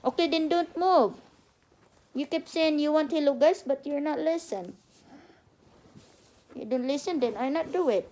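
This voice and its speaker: A woman's voice: high voice, unhurried, pauses often, darkish tone. A woman, a mother reading a bedtime story aloud and talking a small child through it with instructive prompts. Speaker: mother reading to her toddler. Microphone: phone camera.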